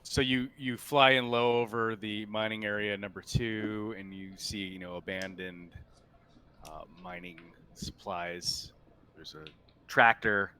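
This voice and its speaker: A man acting as game master, improvising halting scene descriptions for a tabletop roleplaying game. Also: on the low side, fairly even intonation, pushed and bright, slight background noise.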